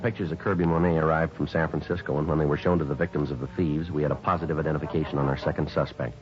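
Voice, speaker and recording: A man playing a terse, businesslike police detective and narrator in a 1950s radio drama, deadpan and matter-of-fact. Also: a bass voice, very fast, over music. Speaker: narrator and lead actor. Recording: dull old 1950s radio recording.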